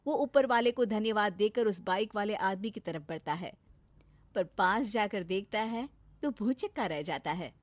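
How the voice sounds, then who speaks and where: A woman's voice: medium pitch, animated, moderate pace, dry recording. A woman storyteller reading a scripted moral tale for children.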